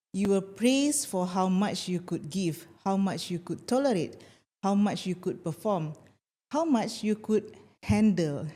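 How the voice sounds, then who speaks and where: A woman with a Malaysian accent talks gently and reflectively as the host of her own podcast. Her voice is mellow and warm, set at a middle pitch. She slips easily between English and Malay as she coaches her listeners. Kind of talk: scripted solo podcast talk.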